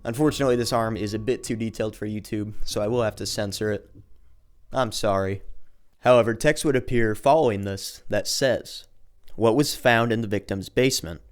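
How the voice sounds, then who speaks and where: Low-voiced man narrating video commentary. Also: brisk. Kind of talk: commentary narration recapping a horror series, part scripted, part casual.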